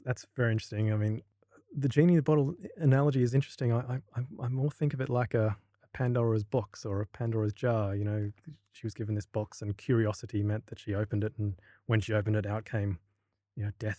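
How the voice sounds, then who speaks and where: An Australian man with a low, mellow voice talks through a casual podcast interview. He stumbles and restarts often while he gathers his thoughts. The recording is dry and close. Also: flat delivery, a little fast, no echo. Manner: hesitant, many restarts and fillers. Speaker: university lecturer, podcast guest.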